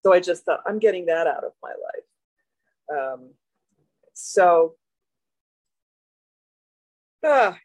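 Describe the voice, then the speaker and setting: A woman in her seventies, a guest talking candidly and a little haltingly about her experience. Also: fairly low pitch, darkish tone, no echo, low-quality recording. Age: 70s. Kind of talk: interview.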